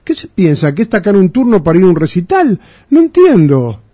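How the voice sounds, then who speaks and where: Indignant radio host, high lively voice. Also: male voice, fairly quick, no echo. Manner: indignant, emphatic, repeats himself. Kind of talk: radio talk.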